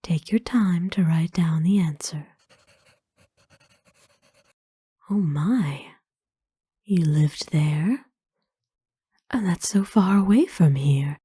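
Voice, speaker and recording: Casting: woman as ancient vampire noblewoman for ASMR roleplay, very dark soft tone, breathy whisper, kind and formal, unhurried. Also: lowish voice, lively intonation, no echo, clean studio sound, exaggerated voice. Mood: curious, soft. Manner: formal, slow, tender, hesitant pauses. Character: kind, courtly centuries-old female vampire owner, gently doting. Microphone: close dry microphone.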